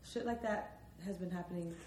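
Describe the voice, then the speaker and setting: Lower-voiced young woman hosting a podcast. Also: fairly quick, a little mellow. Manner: bossy, steers the talk, laughs easily. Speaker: podcast host. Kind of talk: casual chat. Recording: echoey room with faint noise.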